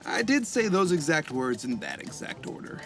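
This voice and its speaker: An actor playing a cheerful, jokey male rehab counselor in an audio drama, with a playful, lively delivery. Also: a little high, normal speed, creaky, faint music behind, heavily acted.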